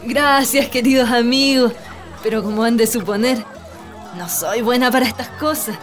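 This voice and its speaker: A young woman playing a gentle, wistful daughter of a country estate in a radio play. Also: on the high side, normal speed, soft background music, some chatter behind, lightly acted.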